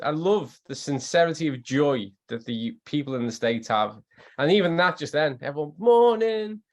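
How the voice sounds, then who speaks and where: A man with a Liverpool accent chatting casually as an expert guest on an online call, in an effusive, animated way. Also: fairly high pitch. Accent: Liverpool English. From Liverpool, England. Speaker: guest scientist and former athletes' trainer.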